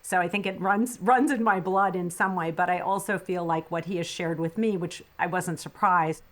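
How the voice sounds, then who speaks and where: A middle-aged woman hosting a podcast, her voice sitting a little on the low side. She chats in an affirming, conversational way, with the odd filler and restart. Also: normal speed. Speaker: podcast host. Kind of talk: podcast interview.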